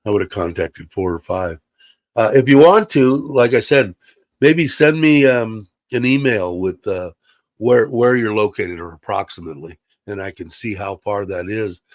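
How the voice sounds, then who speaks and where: A man's voice: lowish voice, lively intonation, normal speed, no room sound. A man hosting a casual livestream from his computer, chatty and rambling with many fillers, sounding slightly congested. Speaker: livestream host. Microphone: computer mic.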